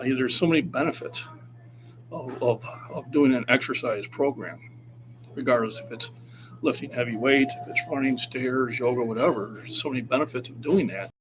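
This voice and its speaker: A man's voice: mid-range voice, noisy background. A man in his sixties, a fitness coach talking casually on a livestream and urging viewers to keep active. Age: 60s. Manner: encouraging, motivational.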